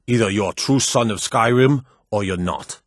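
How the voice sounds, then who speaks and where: An actor playing a gruff, proud Nordic warrior and guard in a fantasy video game, speaking in a firm, emphatic, declamatory way. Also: male voice, on the low side, normal speed, no echo, clean studio sound, lightly acted. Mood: stern. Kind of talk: dramatic video game dialogue.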